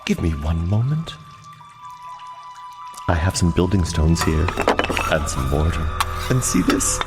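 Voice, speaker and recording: An actor playing a mad mason and murderer in a gothic radio drama, theatrical in manner. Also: male voice, deep voice, animated. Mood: menacing, mocking. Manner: theatrical, slow, mocking then pleading. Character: mad mason murderer, mockingly polite, later a terrified asylum inmate. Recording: loud music behind the voice.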